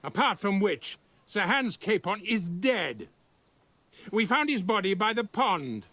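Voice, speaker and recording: A stern male castle commander in a medieval game. He is sardonic and condescending, with a bright, slightly high voice and lively intonation. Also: slow, no echo, full character voice. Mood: dismissive, sardonic. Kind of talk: cinematic video game acting.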